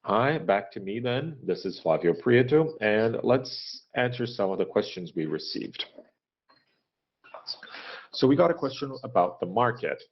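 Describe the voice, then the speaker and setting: A man giving a corporate earnings presentation in a halting, measured business manner, pausing often to hesitate. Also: on the low side, lively intonation, husky. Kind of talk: corporate earnings call presentation and question answering, unscripted. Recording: dull, lo-fi conference call audio.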